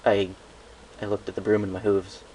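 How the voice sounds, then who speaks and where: A man's voice: on the low side, little pitch movement, normal speed, warm tone, a little hiss or hum, low-quality recording. A man narrating a first-person story from a script, in a home recording. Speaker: fan fiction reader.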